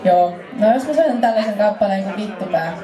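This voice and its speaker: A woman's voice: medium pitch, expressive, recorded from a distance. A young woman, a singer-songwriter, chatting between songs on a small club stage with a vocal mic on a stand. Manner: sings to her own acoustic guitar. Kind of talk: live acoustic performance with stage banter. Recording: roomy live club sound, crowd noise. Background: guitar and audience.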